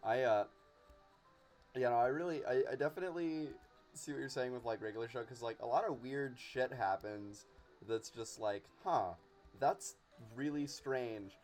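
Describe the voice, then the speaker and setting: A young man with a mid-pitched voice hosts an informal podcast conversation. He talks in a halting way, repeating words as he finds his point. Faint music runs underneath. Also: darkish tone. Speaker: host. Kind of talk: casual podcast chat.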